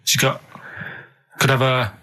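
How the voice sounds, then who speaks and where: A man's voice: mid-range voice, little pitch movement, measured pace, bright, forceful tone. A man telling rambling anecdotes on the radio, earnest, matter-of-fact and hesitant. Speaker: radio show regular. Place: radio studio.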